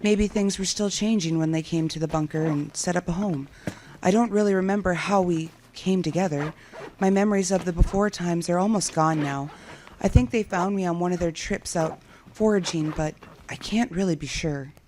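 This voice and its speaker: A woman's voice: fairly low pitch, normal speed, a little mellow, a little hiss or hum, lightly acted. A woman playing a lone apocalypse survivor in an audio drama, musing to herself in a reflective, yearning way. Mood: wistful.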